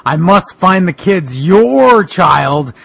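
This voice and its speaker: A man voicing a solemn, heroic boogeyman, halting and grandly melodramatic in a comic fan fiction reading. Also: high voice, sing-song, creaky, fairly bright tone, slightly rough, no echo, full character voice. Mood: solemn resolve. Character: solemn heroic male boogeyman, vowing and tragic.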